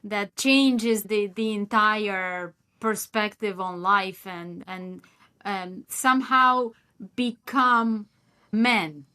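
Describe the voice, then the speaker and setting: A woman's voice: medium pitch, extremely slow, pushed and bright, clear, resonant tone. A woman, hosting a podcast and musing aloud in long, reflective sentences. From Romania. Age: 50s. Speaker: podcast host. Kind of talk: casual interview chat.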